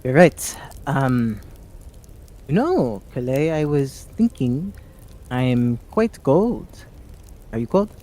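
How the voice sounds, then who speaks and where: A tabletop player voicing a flirty, teasing adventurer, speaking in a halting, coy, mock-innocent way. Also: medium pitch, big pitch swings, dark tone. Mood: awkward, casual. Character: flirty, teasing, brash one-eyed adventurer by a campfire.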